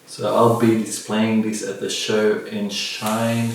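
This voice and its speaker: Echoey, slow-talking low-voiced man. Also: flat delivery. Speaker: vlogger reviewing gear. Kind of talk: casual unboxing commentary. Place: indoor room with bare walls, at a table. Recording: very echoey room, distant mic, faint noise.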